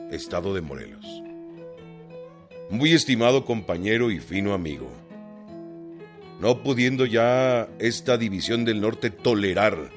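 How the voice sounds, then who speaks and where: A resolute rebel general. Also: male voice, lowish voice. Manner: formal letter reading. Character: rebel northern general reading his letter, resolute. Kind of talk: radio drama acting. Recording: with music underneath.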